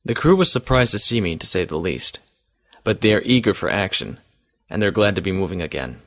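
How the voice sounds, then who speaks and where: A man playing a dutiful starship captain dictating his log in an audio drama, measured and formal. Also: sits low, sing-song, dry recording, studio quality. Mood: sober.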